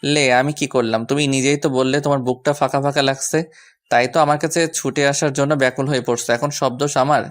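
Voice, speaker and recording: Man playing a doting lover, even-toned. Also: mid-range voice, quick pace, close to an everyday voice. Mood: soothing. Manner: coaxing, affectionate, apologetic. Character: doting, teasing young man soothing his sweetheart. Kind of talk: romantic audio story acting.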